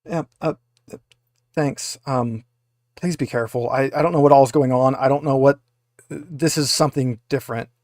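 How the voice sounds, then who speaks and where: A man's voice: medium pitch, steady tone, a little mellow, dry recording, natural voice. Flustered female android for a tabletop game, played by a man: hesitant, repetitive, pleading, dry recording. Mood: uneasy, grateful. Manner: hesitant, halting, repeats words.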